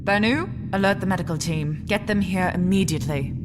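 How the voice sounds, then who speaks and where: A woman's voice: fairly low pitch, fairly quick, background noise, natural voice. An actress playing a proud, regal, middle-aged immortal queen with formal, theatrical speech in an audio drama. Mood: cold, commanding.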